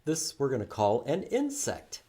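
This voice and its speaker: Animated, slow-paced man leading children's storytime. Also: medium pitch, a little hiss or hum. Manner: animated, asks questions and repeats words for children. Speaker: children's library storytime presenter. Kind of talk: interactive read-aloud for young children.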